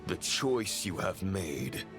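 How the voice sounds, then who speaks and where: Grave, slow-spoken fallen knight. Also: male voice, deep voice, sing-song, music in the background, heavily acted. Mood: solemn, regretful. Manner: formal, slow, portentous. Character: grave, solemn fallen knight passing judgment. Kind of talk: scripted fantasy game voice acting.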